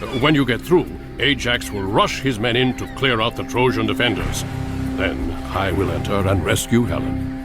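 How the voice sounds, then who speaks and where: A man's voice: lowish voice, moderate pace, close to an everyday voice. An actor playing a proud, lordly ancient warlord king in a game cutscene, declaiming his lines. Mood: confident. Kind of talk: dramatic game cutscene acting. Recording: loud music over the voice.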